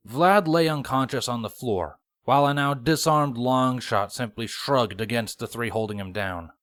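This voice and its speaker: A man's voice: mid-range voice, moderate pace, fairly bright tone. A man reading fan fiction as scripted audiobook narration, in a dramatic storytelling manner.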